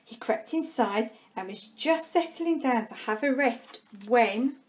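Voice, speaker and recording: A woman reading a children's storybook aloud expressively, with dramatic pauses and drawn-out words. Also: on the high side, lively intonation. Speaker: primary school staff member reading a storybook.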